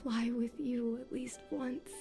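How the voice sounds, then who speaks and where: A high, earnest young daughter. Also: female voice, level tone, slow pace, over music. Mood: pleading.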